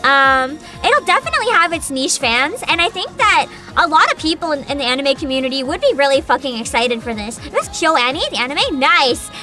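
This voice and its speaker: A woman's voice: sits high, sing-song, brisk, hardly any pauses, over music. A woman hosting a livestream, chatting informally to her viewers and giving her opinions, often humming between thoughts. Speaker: livestream host.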